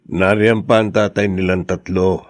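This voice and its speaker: A man's voice: low-pitched, flat delivery, moderate pace, no echo, studio quality, natural voice. A man with a Filipino accent playing a level-headed, caring middle-aged husband and friend who consoles others, in a radio drama. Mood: reassuring.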